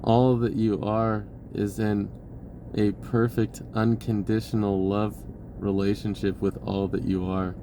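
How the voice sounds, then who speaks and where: A man giving an unhurried, reflective spiritual talk with many pauses, his voice lifting when he acts out an inner dialogue. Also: lowish voice, level tone, noisy background. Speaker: spiritual video host.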